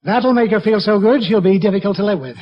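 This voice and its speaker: A man playing a blustering, grouchy middle-aged office boss in a 1940s radio comedy, gruff and exasperated. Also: high voice, fast talker. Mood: scheming. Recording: dull old radio recording, loud audience.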